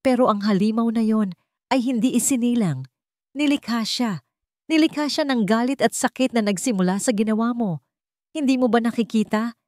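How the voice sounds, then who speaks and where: A woman's voice: a little high, moderate pace, a little mellow, dry recording, clean studio sound, pitched above the performer's own voice, close to an everyday voice. A woman voicing a wounded, furious young daughter in a dramatic audio story, bitter and accusing. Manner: bitter, accusing, trembling with anger and grief.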